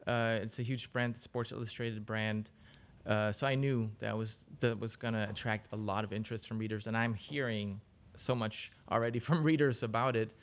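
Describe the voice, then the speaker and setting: A man, answering interview questions casually as a journalist, often pausing mid-sentence. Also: fairly low pitch, steady tone. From Germany. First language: German. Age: 40s. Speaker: interview guest, business journalist.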